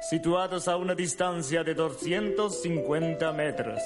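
A man's voice: fairly high pitch, measured pace, natural voice. An actor playing an amiable male radio pioneer in heaven, in a comic radio play. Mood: proudly recounting. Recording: music under the voice.

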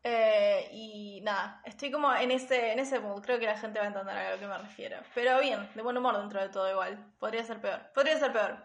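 A woman's voice: medium pitch, a little fast, hardly any pauses, echoey room. A woman in her twenties with a Rioplatense Argentine accent, chatting casually as a podcast co-host, laughing easily and filling her talk with fillers. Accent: Rioplatense Spanish. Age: 20s. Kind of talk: casual chat between friends.

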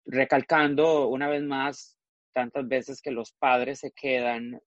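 A man's voice: medium pitch, level tone, takes it slowly, dry recording, highs cut off. A man in his forties from Costa Rica, a guest frankly telling his personal story, often trailing off and restarting. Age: 40s.

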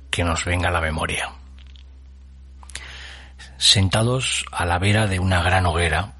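A man hosting a radio show with scripted, atmospheric narration, solemn and deliberately phrased with dramatic pauses. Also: low voice, sharp tone, slightly rough.

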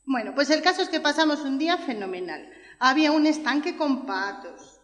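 A woman's voice: high-pitched, expressive, moderate pace, a bit bright. A woman playing a cheerful young schoolchild in a scripted audio story for children. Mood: cheerful, eager. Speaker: preschool teacher. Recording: echoey reverberant room.

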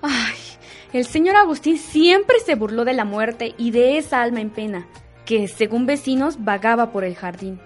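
A woman's voice: on the high side, animated, moderate pace, faint music behind, lightly acted. A woman with a Mexican accent playing a pious, superstitious, fearful housemaid in a melodramatic radio soap opera. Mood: worried gossip.

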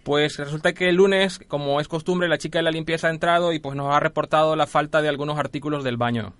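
A man's voice: a little high, fairly even intonation, fast talker, a bit bright, no room sound, close to an everyday voice. Man playing insistent, officious hotel administrator. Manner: officious, apologetic, repetitive, hesitant phrasing. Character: polite insistent male hotel administrator collecting a fine. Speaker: radio prank caller. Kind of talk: prank phone call, improvised role-play.

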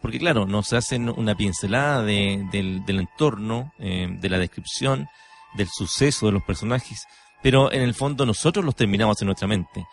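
Radio host, male, middle-aged or up, lowish voice, casual and hesitant, checks in for agreement. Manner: halting, seeks agreement with tag questions. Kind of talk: reflective casual radio chat.

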